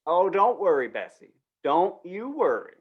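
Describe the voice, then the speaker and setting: Woman playing a flustered small-town master of ceremonies, lively swoops. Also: lowish voice, phone-quality sound. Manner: dithering, halting, folksy, repeats words. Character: flustered, folksy male small-town contest announcer named Otis. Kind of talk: old-time western radio play acting.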